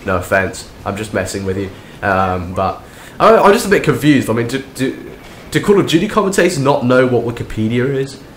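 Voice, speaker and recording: A young man, croaky and nasal from a cold, rambling through a sarcastic gameplay commentary. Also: mid-range voice, big pitch swings, fairly quick, hardly any pauses, a little hiss or hum. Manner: rambling, sarcastic, many restarts. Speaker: gaming commentator. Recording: echoey room with faint game sound.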